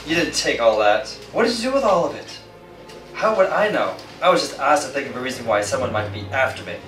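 A man's voice: medium pitch, animated, fast, a bit bright, close to an everyday voice. An actor playing an agitated, exasperated male prisoner in a thriller film. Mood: defensive. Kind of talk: dramatic film acting. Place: bare room. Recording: echoey room, distant mic, faint music.

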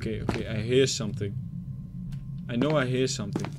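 A man's voice: medium pitch, fairly even intonation, fairly quick, very noisy. A young man with a Dutch accent, commentating a horror game as he plays, jumpy and swearing when startled. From Netherlands. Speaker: gaming YouTuber.

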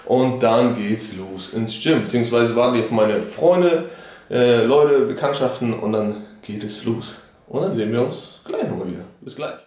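A man's voice: medium pitch, animated, moderate pace, a little mellow, roomy sound. A young man in his twenties filming a casual fitness vlog with a camera at arm's length, joking and slangy, first at home, then in a big gym. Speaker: fitness vlogger. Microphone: camera held at arm's length.

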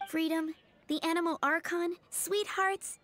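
A woman playing a sweet, dreamy little flower-selling girl in a video game, gentle and polite. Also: very high-pitched, steady tone, unhurried, slight background noise. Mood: sweet, wistful. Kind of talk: video game dialogue acting. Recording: faint game music behind.

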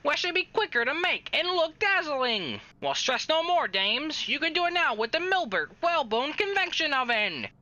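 A woman plays a peppy vintage radio advertisement saleswoman in a dry, close sound. Her high, very animated voice is emphatic and salesy. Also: moderate pace, hardly any pauses, dry recording, dull audio, exaggerated voice. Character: peppy 1940s housewife-targeting advertisement saleswoman.

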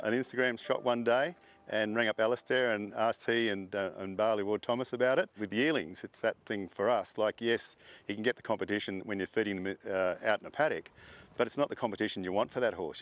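A middle-aged man, a horse farm owner, talking casually in an interview, rambling with fillers and restarts. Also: fairly low pitch.

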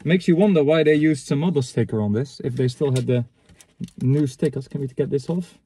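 A man in his thirties chatting casually and unscripted in a halting, matter-of-fact way as he thinks aloud, recorded inside a parked car. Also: mid-range voice, mellow, husky. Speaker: vlogger reviewing secondhand reel-to-reel tapes. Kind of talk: casual unscripted chat, commenting on items as he handles them.

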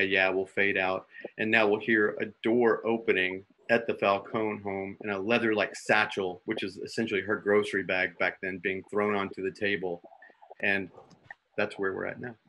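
A man's voice: lowish voice, bright, forceful tone, a little hiss or hum. A man reading stage directions and sound cues for a radio play in an informal narrating style.

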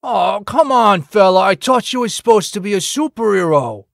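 An actor playing a brash, chatty city motorist in a comic dub, with a gravelly, wheedling delivery. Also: male voice, high voice, lively intonation, moderate pace, no room sound, studio quality. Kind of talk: comic dub character acting.

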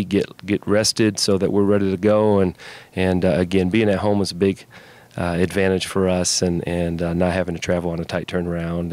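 A middle-aged man, a basketball coach, giving conversational, measured answers in a postgame interview on a handheld mic. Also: low-pitched, darkish tone, no echo. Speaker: college basketball head coach. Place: indoors in front of a media backdrop. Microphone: handheld mic held by interviewer.

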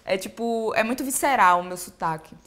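A woman's voice: medium pitch, a little fast. A young woman from Pernambuco with a Pernambuco accent, chatting animatedly as an interview guest while seated on a sofa in a sunny living room. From Pernambuco, Brazil. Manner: animated, expressive, gestures while talking. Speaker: singer-songwriter guest. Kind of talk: casual interview chat.

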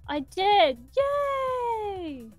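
A woman's voice: very high voice, very animated, extremely slow, no echo, faint music behind. A woman co-commentating on a game stream in casual, teasing banter.

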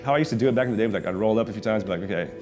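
Fast-talking deep-voiced skater, loose and laid-back. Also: male voice, over music. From Oklahoma City, USA. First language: Vietnamese. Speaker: professional skater, interviewee. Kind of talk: casual interview storytelling. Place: his restaurant.

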